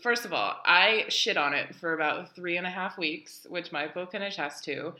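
An echoey room carries a bright, forward American woman's voice, set a little low. She chats casually as a podcast co-host, giggly and blunt, with the odd swear word thrown in. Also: moderate pace. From New York City, United States. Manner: giggly, animated, blunt and profane. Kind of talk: casual, bantering podcast chat. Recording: roomy, echoey indoor sound.

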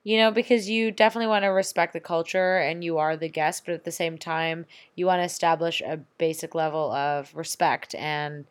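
A woman's voice: low voice, steady tone, fairly quick, hardly any pauses. A woman who also teaches, chatting casually and backing up her friend with agreement. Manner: supportive, finishes the other's sentences. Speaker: teacher, co-host of chat. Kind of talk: casual chat.

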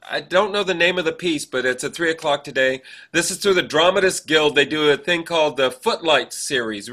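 A man's voice: on the high side, bright, forceful tone. A man co-hosting a podcast in casual, chatty conversation, often chiming in. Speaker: podcast co-host. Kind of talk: casual chat.

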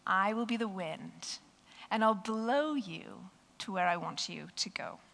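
A hoarse woman voicing a patient, devoted mother bunny from a storybook, in a gentle read-aloud voice. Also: medium pitch, measured pace. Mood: tender. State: croaky, hoarse voice.

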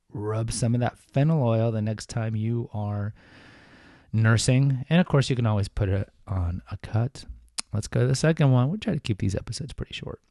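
A man's voice: on the low side, vocal fry, dark tone, dry recording, studio quality. A man hosting a podcast, talking casually and informally, with fillers and self-corrections. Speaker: podcast host. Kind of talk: casual solo podcast talk.

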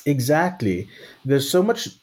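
A man's voice: mid-range voice, sing-song, unhurried, darkish tone. A man from Texas in casual conversation, talking thoughtfully and checking in with "right?" as he goes. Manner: thoughtful, frequent restarts and "right?".

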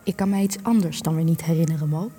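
Young female journalist, radio drama, husky, biting, sarcastic, long reverb, faint music behind. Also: sits low, fast talker, warm tone. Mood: sardonic. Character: sharp, cynical young female aspiring journalist, combative. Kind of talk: radio play acting in Dutch.

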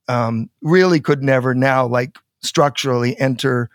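A man's voice: mid-range voice, measured pace, dry recording, studio quality. A man talking politics in a loose, rambling way, often swearing and trailing off mid-sentence. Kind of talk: casual political chat.

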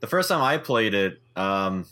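A man's voice: on the low side, big pitch swings, measured pace, bright, forceful tone. A young man co-hosting a casual podcast, making wry jokes and explaining things. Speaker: podcast co-host.

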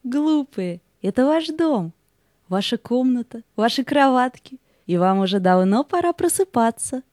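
Woman as a gentle, mellow-voiced guiding spirit. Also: a little high, expressive, unhurried, no echo, clean studio sound. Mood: tender. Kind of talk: fairy-tale audio play acting.

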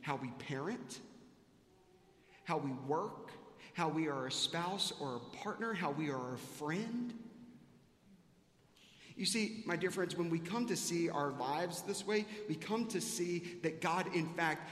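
A man's voice: a little high, expressive, slow pace, fairly bright tone. A man preaching a sermon as a pastor, building earnest questions through repetition. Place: large reverberant church sanctuary. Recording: echoey hall with long reverb.

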